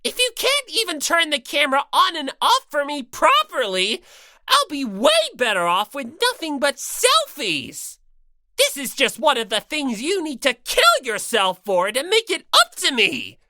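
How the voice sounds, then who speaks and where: Casting: woman as sadistic robot bear mascot for audiobook, bossy, sarcastic, bright forceful delivery with wild pitch swings. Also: sing-song, unhurried, dry recording, clean studio sound, full character voice. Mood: scornful, bossy. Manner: theatrical, taunting, mock-polite then bellowing. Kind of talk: audiobook character voice.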